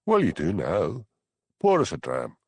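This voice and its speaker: A man's voice: deep voice, wildly swooping pitch, a little slow, no room sound, exaggerated voice. A man voicing a brash, teasing Scottish detective in an audiobook crime story. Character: foxy, brash Scottish detective cadging whisky.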